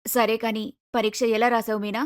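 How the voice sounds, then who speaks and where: A woman voicing a patient middle-aged mother in a cartoon moral story, gentle and reasoning. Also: on the high side, level tone, fairly quick, pitched below the performer's own voice, lightly acted. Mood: calm.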